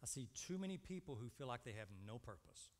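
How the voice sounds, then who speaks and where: A man in his fifties delivers a sermon with an average-pitched voice that rings through a long hall reverb. He is emphatic, putting short rhetorical questions to his listeners and repeating key phrases. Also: animated, fast talker. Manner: asks the congregation short rhetorical questions and answers them. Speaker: preacher. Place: indoor church stage at a lectern.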